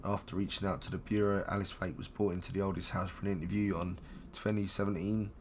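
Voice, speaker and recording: A hoarse man who is losing his voice, streaming a game and reading its documents aloud in a flat, steady way. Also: low-pitched, quick pace, slight background noise. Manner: reads in a flat steady voice, swears casually. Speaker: gameplay streamer. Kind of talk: casual let's-play commentary and reading game documents aloud.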